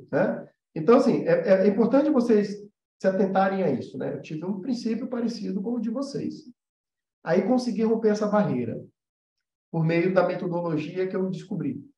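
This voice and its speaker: A man's voice: high voice, dark tone. A man with a Brazilian Portuguese accent teaching an informal livestream lesson in a conversational, explanatory way, often checking in with his listeners. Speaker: animation teacher and mentor. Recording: roomy echo, dull muffled sound.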